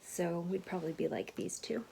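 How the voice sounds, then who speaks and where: A woman reading a picture book aloud to children in an expressive way, with chatty asides to her young listeners. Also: lowish voice, brisk, gravelly, a little hiss or hum, low-quality recording. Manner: animated, expressive storytelling with questions to listeners.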